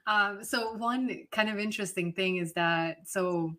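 A woman from Serbia chatting casually in an expressive, reflective and informal way. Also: mid-range voice, measured pace. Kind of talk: casual chat.

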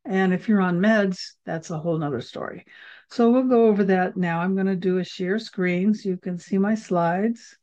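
A woman's voice: mid-range voice, normal speed, a little mellow. A woman hosting a podcast and teaching a class on herbal remedies, chatty and rambling with many fillers, recorded over a video call. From United States. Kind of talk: casual teaching talk. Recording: slightly dull video call audio.

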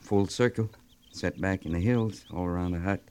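An actor playing a plain-spoken, dutiful cavalry soldier in a 1950s radio western, with folksy rural phrasing. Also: male voice, low-pitched, normal speed, a little mellow. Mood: watchful. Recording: noisy old radio recording.

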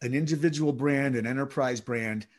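A man being interviewed, explaining his work in measured, expansive sentences with frequent fillers. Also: medium pitch. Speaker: podcast and audiobook producer. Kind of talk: interview guest, conversational explaining.

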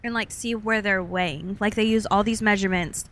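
Young american lady just chatting on a podcast, mid pitch, telling stories, kinda playful and informal. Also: fairly even intonation, brisk, a bit bright. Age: young adult. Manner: informal, chatty, uses playful made-up words. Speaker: podcast co-host. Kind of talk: casual chat, personal storytelling.

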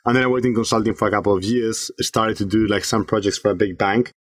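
A man from Spain, a startup founder being interviewed on a podcast, talking fast with many fillers and restarts. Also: lowish voice, fairly even intonation, no echo. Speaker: startup CEO guest.

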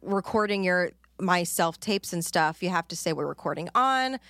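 A woman's voice: on the low side, lively intonation, moderate pace, a bit bright, no room sound. A woman co-hosting a podcast, telling an exasperated story with frequent laughs and swearing. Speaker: podcast co-host. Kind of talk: casual chat over video call. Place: recording studio.

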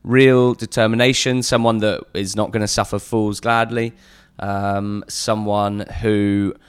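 A man's voice: fairly low pitch, little pitch movement, unhurried, clean tone. An English man guesting on a football podcast, chatting earnestly with lots of fillers and restarts. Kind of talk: casual podcast chat.

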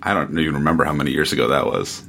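Male podcast guest, deep low voice, casual reviewing, measured and halting delivery. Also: creaky, a bit bright.

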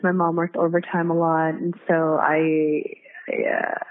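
A woman's voice: low-pitched, level tone, unhurried. A middle-aged American woman talking casually as an interview guest, candid and reflective, circling back to rephrase as she tells her story. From San Diego, United States. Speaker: guest, community activist. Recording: tinny phone-like audio.

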